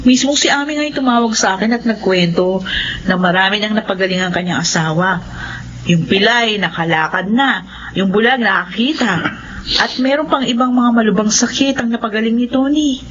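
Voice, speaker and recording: An actress playing an earnest, devout wife and mother, expressive and conversational, in a radio horror drama. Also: medium pitch, normal speed, lightly acted. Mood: earnest, persuading. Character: earnest believing wife and doting, anxious mother. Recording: dull lo-fi audio, faint noise.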